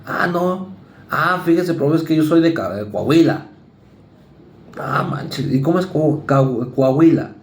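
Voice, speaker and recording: A male university lecturer with a Mexican accent, giving a conversational psychology class and acting out students and parents in quick asides. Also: a little high, roomy sound. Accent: Mexican Spanish.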